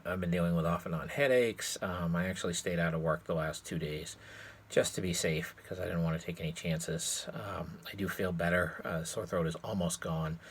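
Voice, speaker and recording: A man with a raspy, hoarse voice from a cold, casually showing off his collection at home in a rambling vlog, filmed on his cell phone. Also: sits low, normal speed, slight background noise. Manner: relaxed, rambling, with frequent fillers. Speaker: sports card collector vlogger. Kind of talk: casual show-and-tell vlog.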